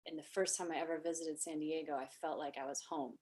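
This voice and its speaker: Soft-voiced woman, low and reflective. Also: fairly even intonation, fast talker. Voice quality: soft voice that does not project far. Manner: reflective, thoughtful, speaks in long winding sentences. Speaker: podcast host and wellness coach. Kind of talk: casual podcast conversation.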